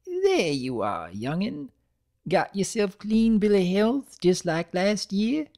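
A man's voice: high-pitched, huge pitch swings, slow pace, a little mellow, voice pushed up, exaggerated voice. A man voicing a feisty, blunt elderly country granny pony with folksy talk, in a dramatic reading of a cartoon story. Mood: brisk, fond.

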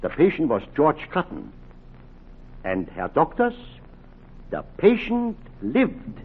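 An actor playing an excitable, well-meaning professor in a 1940s radio drama, stammering and earnest. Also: male voice, fairly high pitch, slow pace, exaggerated voice. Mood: earnest, proud. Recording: muffled, crackly 1940s radio recording.

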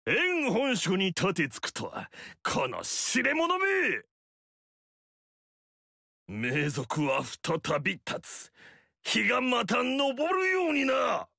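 A pompous vain noble warlord. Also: male voice, very high voice, wildly swooping pitch, extremely slow, vocal fry, sharp tone, dry recording, heavily acted. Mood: haughty. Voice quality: haughty, pompous. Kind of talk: video game voice lines. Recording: dry studio recording.

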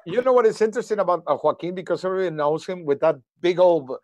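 A man's voice: sits high, rapid-fire, no room sound. A man chatting casually on a group video call. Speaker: cast member. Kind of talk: casual chat.

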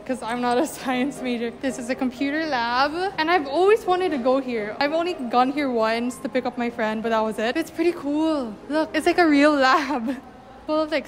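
Chatty young woman touring campus, high voice. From Philippines. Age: young adult. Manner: chatty, upbeat, laughs often. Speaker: vlogger giving a campus tour. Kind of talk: casual walking vlog. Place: indoor halls and classrooms of a university. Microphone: handheld mic at arm's length. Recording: music playing underneath. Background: background music.

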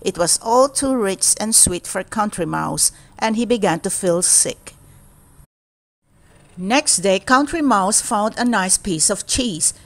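A woman storyteller reading a children's storybook aloud in a deliberate, expressive way. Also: mid-range voice.

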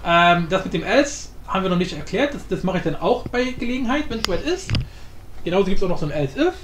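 A man's voice: high voice, very animated, quick pace, fairly bright tone. A man hosting a casual screencast tutorial, thinking aloud in an informal, improvised way. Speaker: tutorial host. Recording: roomy echo, faint background noise.

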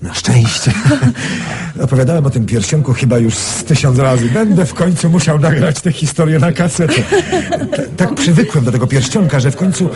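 A guy acting affable young rich guy in a radio play, very animated storyteller, people murmuring behind. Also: on the high side, moderate pace, crowd noise, natural voice. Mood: modest, amused. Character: affable, boastful young businessman with a lucky ring.

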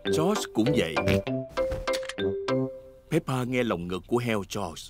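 A man narrating a children's cartoon from a script in an expressive storytelling manner. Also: fairly low pitch, takes it slowly. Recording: music behind the voice.

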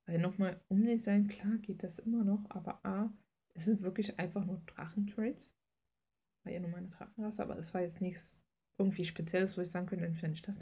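A woman's voice: fairly low pitch, creaky, mellow, husky. A woman chatting casually and informally as she draws, rambling in slangy language and thinking aloud. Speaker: artist hosting a drawing video. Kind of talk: casual rambling chat while drawing. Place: indoors at a drawing desk.

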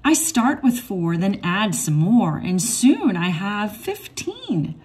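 A woman reading a rhyming children's picture book aloud in an expressive, measured storytelling manner. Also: medium pitch, breathy edge. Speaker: read-aloud storybook reader.

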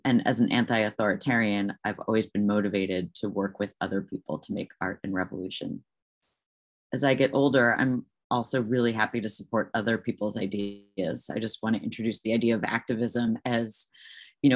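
A woman panelist giving prepared remarks on a video call in a steady manner. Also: a bass voice.

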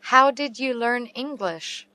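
A woman reading short English practice dialogues aloud, slowly and carefully enunciated. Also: on the high side, sharp tone. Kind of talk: slow read-aloud English practice phrases.

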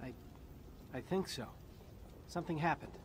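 An actor playing a wisecracking human soldier in an alien warrior body, with a dry, sarcastic manner, in a video game. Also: male voice, fairly high pitch, moderate pace, slight background noise, lightly acted. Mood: wry, puzzled. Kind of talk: video game dialogue acting.

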